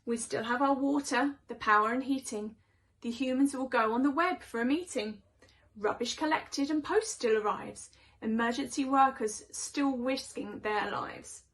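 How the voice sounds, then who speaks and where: Woman voicing a chatty, high-voiced storybook cat. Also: normal speed. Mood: musing. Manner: conversational, expressive rhyming delivery. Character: chatty, opinionated house cats gossiping about their humans.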